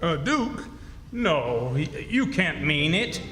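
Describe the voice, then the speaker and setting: Man acting an old folksy rogue, echoing loudly around. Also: a little high, sing-song, slow. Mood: incredulous. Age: older. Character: grey-haired old rogue posing as exiled French king. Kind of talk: old-time radio drama acting, performed live. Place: stage in a reverberant historic club hall. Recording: echoey hall stage performance with music.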